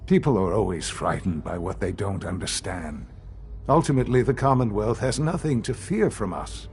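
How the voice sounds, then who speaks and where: Game role: aged male science director, played by a man, low-pitched, calm, formal and grave, music underneath. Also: close to an everyday voice. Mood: earnest, persuasive. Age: older. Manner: measured, formal, gravely earnest. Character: elderly calm male leader of secretive science institute. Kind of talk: video game dialogue acting.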